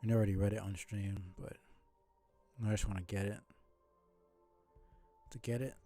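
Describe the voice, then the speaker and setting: A man's voice: lowish voice, steady tone, moderate pace, dark tone, soft background music. A man casually commentating a live video game stream, rambling along in a mumbly, mildly annoyed way.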